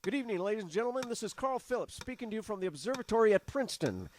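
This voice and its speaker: A radio play field reporter acted by a man with a high male voice. He talks very quickly in a brisk, descriptive vintage broadcast style. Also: animated, darkish tone, slight background noise.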